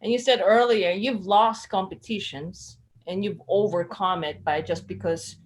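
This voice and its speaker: A woman hosting a live-streamed interview who praises her guest warmly and speaks eagerly to her viewers. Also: mid-range voice, steady tone, a little slow. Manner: enthusiastic, effusive. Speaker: interview host.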